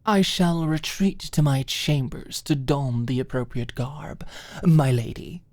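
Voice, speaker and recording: A woman's voice: low-pitched, slow, darkish tone, dry recording. A woman voicing a dry, mock-dramatic young woman who hides her fluster, in an audiobook story. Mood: wry, mock-dramatic.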